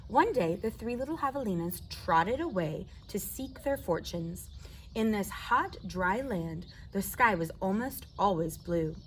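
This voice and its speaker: Animated American woman reading to children. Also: mid-range voice, a little slow, a little hiss or hum, poor audio. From southwestern United States desert. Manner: animated, addresses listeners directly. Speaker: children's storyteller. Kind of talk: expressive read-aloud for young children.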